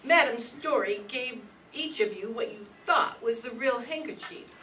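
A woman plays a composed female investigator in a radio mystery. Her voice is sharp and deliberate, heard from a distance with faint noise. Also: a little high. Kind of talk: old-time radio mystery acting. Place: live stage reading in a meeting room. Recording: distant mic, noisy hall.

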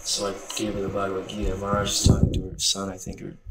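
A young man speaking earnestly and calmly about selling books to travelers, with faint hiss from old film audio. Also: sits low, racing, a loud crowd. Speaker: book distributor. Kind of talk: reflective commentary over footage.

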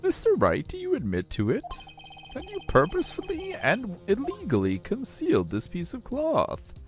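A man's voice: sits high, wildly swooping pitch, moderate pace, faint music behind, pitched above the performer's own voice. A man voicing a solemn elderly courtroom judge in a video game, speaking in formal pronouncements. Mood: stern questioning. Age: older.

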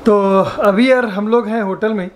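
A man's voice: high-pitched, steady tone, unhurried, soft background music. A man from Uttar Pradesh, around his thirties, chatting casually and animatedly to a handheld camera as a vlogger in a hotel room. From Uttar Pradesh, India. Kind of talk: casual vlog chat. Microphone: camera held at arm's length. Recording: some background room noise.

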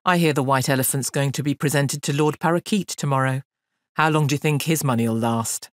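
A woman's voice: rumbling low, fairly quick, no echo, studio quality. Woman as a stern, lofty craftsman. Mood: dry. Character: stern, proud male master firework maker. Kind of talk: children's storybook character voice.

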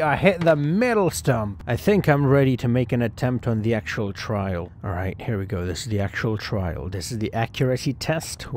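A man with a mid-pitched voice narrates sports game play as if he were the hopeful athlete himself. He talks his way through the action in the first person. Ambient noise is clearly audible behind his voice. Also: a little mellow. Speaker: gaming video host.

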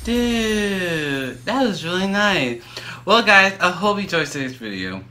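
A very bright, forceful voice from a young man hosting a casual reaction vlog. He is enthusiastic and quick to exclaim. Faint music can sit behind him. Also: high voice, big pitch swings. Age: 20s. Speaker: reaction video host. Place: small room indoors with drawings on the wall.

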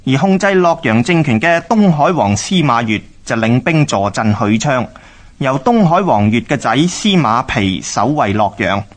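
A man with a Hong Kong accent narrating history from a script in a formal, expository manner. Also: mid-range voice.

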